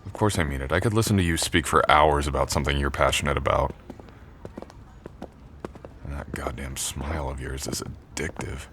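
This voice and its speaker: A very low-voiced man acting as a college jock, young, protective and tender. He talks in an intimate boyfriend ASMR style, softly teasing and reassuring, with noticeable noise behind the voice. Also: steady tone, normal speed, pauses often. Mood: hushed, intimate. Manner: soft, teasing, gently reassuring. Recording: clearly audible background noise.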